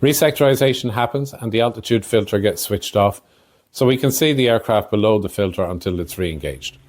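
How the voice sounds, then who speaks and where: A man with a fairly low voice narrates air traffic control procedures. His delivery is measured and technical, explaining each step as a podcast narrator would. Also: darkish tone.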